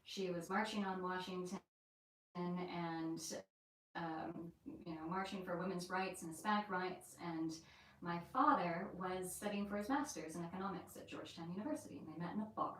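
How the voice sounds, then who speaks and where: A woman's voice: fairly low pitch, fairly even intonation, normal speed, fairly bright tone, a little hiss or hum. A woman with an American accent mixed with a light Yorkshire lilt, hosting a chatty, rambling live stream from inside an old house. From England and the United States. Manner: chatty and rambling, with many fillers. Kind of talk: casual live-stream chat. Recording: very echoey room, mic at a distance.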